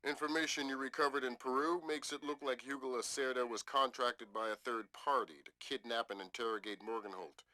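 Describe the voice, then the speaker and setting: A man's voice: medium pitch, fairly even intonation, brisk, hardly any pauses, sharp tone, close to an everyday voice. A man playing a stern, wry spy commander giving orders in a video game briefing. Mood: authoritative.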